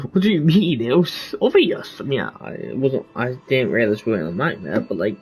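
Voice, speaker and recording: Husky, animated man streaming a game. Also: medium pitch, moderate pace. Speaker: gaming streamer. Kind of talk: casual joking playthrough commentary. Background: game music.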